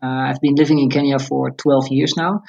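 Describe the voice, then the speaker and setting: A man's voice: mid-range voice, level tone, moderate pace, vocal fry, darkish tone. Dutch man, dull lo-fi sound. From Netherlands. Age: 40s. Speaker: interview guest, running coach. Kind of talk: casual interview talk.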